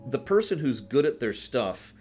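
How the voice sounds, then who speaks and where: A man's voice: mid-range voice, sing-song, normal speed, darkish tone, soft background music. A man hosting a livestream, chatting casually and opinionatedly with his viewers in an emphatic, conversational way. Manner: emphatic, conversational, breaks off to address chat. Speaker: livestream host.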